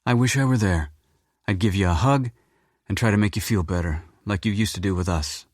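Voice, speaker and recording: A man's voice: fairly low pitch, brisk, darkish tone, no echo, studio quality, voice pushed up, natural voice. A man voicing a grown daughter on the phone with her father, eager and affectionate.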